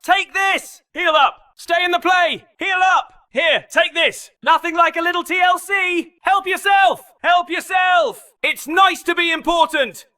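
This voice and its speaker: A man playing a flamboyant, excitable arena combatant in a video game, throwing out exuberant, punchy callouts in short bursts. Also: extremely high pitch, unhurried, shouting, exaggerated voice. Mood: rallying, upbeat.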